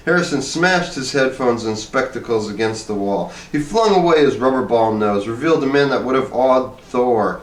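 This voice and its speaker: A man reading a short story aloud as scripted narration. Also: mid-range voice. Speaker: story reader. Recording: echoey room, distant microphone.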